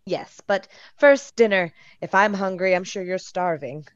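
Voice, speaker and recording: A woman's voice: medium pitch, a little slow, dry recording, highs cut off, lightly acted. A woman playing a polite, fussing older mother and hostess in a radio play. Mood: gracious.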